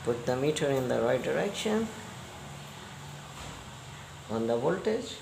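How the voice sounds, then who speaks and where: A man giving a hands-on repair demonstration in halting, non-native English, step by step. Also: mid-range voice, sing-song, creaky, roomy sound, background noise. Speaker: repair technician giving a tutorial.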